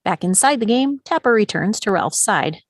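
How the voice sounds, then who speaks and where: A woman narrates screenplay stage directions at a table read. She is close on the mic in a very dry space, with a mid-pitched voice. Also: expressive, normal speed, dry recording.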